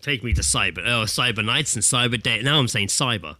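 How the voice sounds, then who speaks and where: Bright-voiced British man, sweary podcast co-host. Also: medium pitch, a little fast, no room sound. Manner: sweary, jokey. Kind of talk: casual banter over a call. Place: indoors, recording remotely over Skype. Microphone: close podcast microphone.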